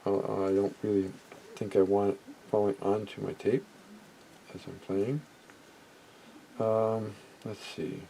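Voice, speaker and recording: A man's voice: on the low side, steady tone, takes it slowly, vocal fry, long pauses, warm tone, rough recording. A middle-aged or older man giving an unscripted hands-on demonstration, musing hesitantly and often trailing off mid-thought. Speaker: vintage electronics hobbyist demonstrating equipment. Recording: home recording with background noise.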